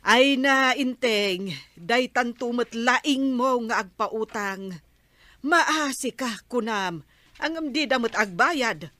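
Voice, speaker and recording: Exasperated village wife, Ilocano accent, highish voice, drawn-out scolding, tight with money, radio comedy. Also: female voice, animated, sharp tone, no echo, heavily acted. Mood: nagging. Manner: scolding, insistent. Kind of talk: comedy radio drama acting.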